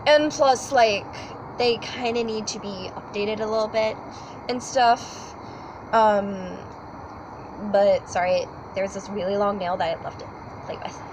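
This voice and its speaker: A woman's voice: medium pitch, measured pace, background noise. A teenage girl chatting casually about school, rambling with lots of fillers and apologies. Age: teens. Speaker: student co-host. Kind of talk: casual chat.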